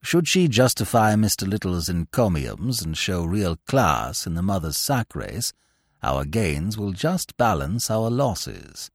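A man voicing an imperturbable, deferential valet who speaks low, hushed and measured. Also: big pitch swings, no echo, studio quality, exaggerated voice. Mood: composed. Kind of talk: audiobook character voice.

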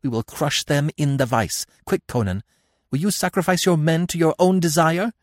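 A man's voice: a little high, lively intonation, moderate pace, no echo, pitched above the performer's own voice, lightly acted. A proud, urgent princess, as a male narrator lifts his voice for her. The insistent lines ring out in a dry, close recording. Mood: commanding. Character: proud young royal woman, commanding and urgent.

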